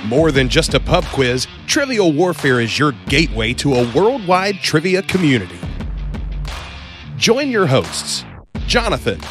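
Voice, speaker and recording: A man announces a podcast intro from a script. His voice moves animatedly up and down and sits a little high. Clear guitar music plays behind him. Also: big pitch swings, a little slow. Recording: music under the voice.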